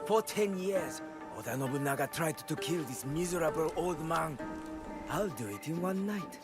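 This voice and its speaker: An actor playing an arrogant, boastful young warrior villain in a video game, sneering and mocking theatrically. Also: male voice, a little high, lively intonation, moderate pace, lightly acted. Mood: smug, menacing. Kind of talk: video game cutscene acting. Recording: loud music under the voice.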